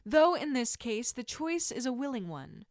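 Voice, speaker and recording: A woman reading scripted fiction aloud as an expressive narrator. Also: sits high, moderate pace, no echo, studio quality.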